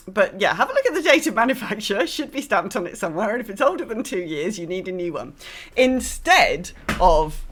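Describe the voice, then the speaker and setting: A woman's voice: mid-range voice, a bit bright. Hesitant, chatty British woman podcaster. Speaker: podcast host. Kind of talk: casual chat.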